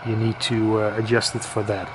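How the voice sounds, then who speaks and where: A man giving a casual, hesitant product demonstration with halting pauses. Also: fairly low pitch, completely flat delivery, noisy background. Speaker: radio equipment reviewer.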